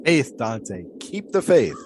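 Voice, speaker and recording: An actor playing a pious, philosophical 1920s flagpole sitter who talks in a folksy, preachy way. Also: male voice, medium pitch, very animated, slow, background noise. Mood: musing. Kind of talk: audio drama acting.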